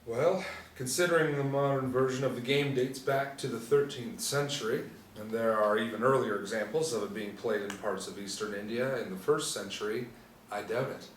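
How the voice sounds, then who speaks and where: A man's voice: mid-range voice, normal speed, natural voice. A man at a script table read playing a probing, know-it-all young soldier in his twenties. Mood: smug. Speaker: actor at a script table reading. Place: indoor room, seated reading panel. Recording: roomy, distant mic, faint noise.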